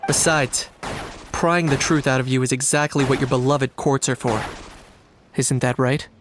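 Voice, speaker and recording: A man voicing a composed, blunt, principled young knight in a video game, speaking in a measured, matter-of-fact way. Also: medium pitch, little pitch movement, noisy background, natural voice. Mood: cool, unsympathetic. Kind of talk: scripted game dialogue. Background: music.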